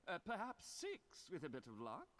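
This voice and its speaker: An obsequious craftsman in an adventure game. His voice is high and swoops about as he defers and hedges. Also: huge pitch swings, normal speed, a bit bright, heavily acted. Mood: deferential. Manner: deferential, hedging. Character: obsequious craftsman, eager to please, evasive. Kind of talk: game audio acting.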